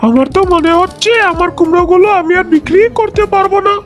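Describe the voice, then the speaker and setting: Very high-pitched, earnest cartoon animal farmer voiced by a woman. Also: quick pace, music in the background. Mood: hurt, complaining. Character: earnest, put-upon young male animal farmer selling pumpkins. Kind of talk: cartoon character voice acting.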